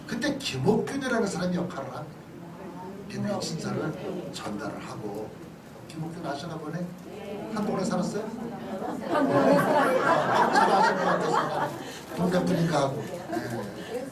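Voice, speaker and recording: A man's voice: high voice, very animated, unhurried, over a noisy crowd. A male pastor giving a conversational history lecture, chatty and often checking in with listeners through questions and asides. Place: church hall lecture. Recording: echoey hall, distant mic, noisy background.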